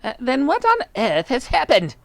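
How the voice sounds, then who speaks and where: A man's voice: very high-pitched, wildly swooping pitch, moderate pace, vocal fry, fairly bright tone, no room sound, pitched above the performer's own voice, full character voice. A man voicing a frightened, gullible old wife in a storybook, wailing and gasping in panic. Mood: indignant alarm.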